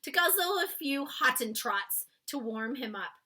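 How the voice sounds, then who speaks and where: A woman's voice: sits high, animated, unhurried, sharp tone, pitched above the performer's own voice, heavily acted. A woman voicing a big, friendly male giant from a children's storybook, with muddled grammar and nonsense words in an exclamatory manner. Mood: explaining with relish. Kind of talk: read-aloud children's storybook character.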